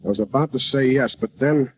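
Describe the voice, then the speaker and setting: An actor playing a hard-boiled, wisecracking private eye with cool deadpan delivery in an old-time radio comedy. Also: male voice, medium pitch, brisk, lightly acted. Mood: brooding, deadpan. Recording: noisy, dull old radio broadcast recording.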